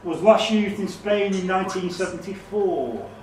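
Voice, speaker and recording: A man's voice: high-pitched, expressive, moderate pace, a little mellow. A man acting as quizmaster, reading quiz questions aloud. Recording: echoey room, distant mic, faint noise.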